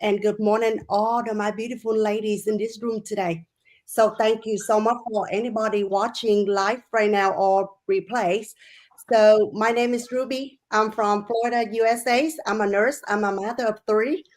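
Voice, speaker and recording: A woman's voice: mid-range voice, steady tone, moderate pace, a little mellow. A woman, slightly nervous, introducing herself in broken English on a video call. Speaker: nurse and first-time guest.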